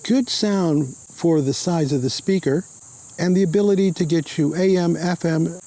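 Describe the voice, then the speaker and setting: Man, product reviewer, scripted narration, plain measured delivery, somewhat high voice. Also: mellow, rough recording.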